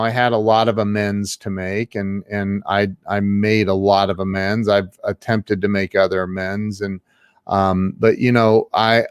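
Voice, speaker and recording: A man's voice: fairly low pitch, clear, resonant tone, dry recording, studio quality. A middle-aged man talking casually as an interview guest, rambling with many restarts and frequent laughs. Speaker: guest, entrepreneur.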